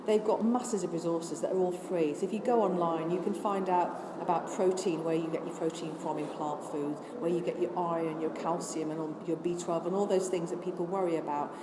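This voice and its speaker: A woman's voice: on the low side, steady tone, fairly quick, few pauses. An older woman from Scotland who has lost her accent, answering interview questions in an earnest, chatty way and listing things off with many restarts. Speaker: interviewee, vegan charity worker. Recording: echoey room with noise.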